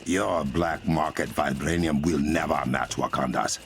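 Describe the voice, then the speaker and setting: A man's voice: extremely low pitch, steady tone, measured pace, music in the background. An actor playing a proud, stern warrior king with an African accent, formal and commanding, in a dramatic video game. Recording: loud game score behind.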